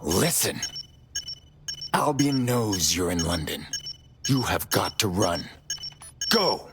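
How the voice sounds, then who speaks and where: An actor playing a terse, hard-bitten male underground vigilante in a video game, with a gravelly, husky, grim delivery. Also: deep voice, animated, slow, background noise, heavily acted. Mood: grim, urgent. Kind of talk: video game cutscene acting.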